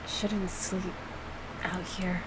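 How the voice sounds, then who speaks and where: A woman voicing a soft-spoken, gentle young man in a visual novel, in a breathy half-whisper. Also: lowish voice, flat delivery, very slow, heavy background noise. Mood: gentle, hushed. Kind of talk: visual novel character reading. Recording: music behind the voice.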